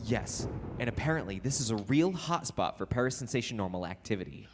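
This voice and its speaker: A man's voice: on the low side, lively intonation, fairly quick, noisy background. A man playing a hapless, earnest apprentice ghost hunter in a live comedy sketch, sounding eager and sheepish. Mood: eager reciting. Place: recreation center hall, live stage performance.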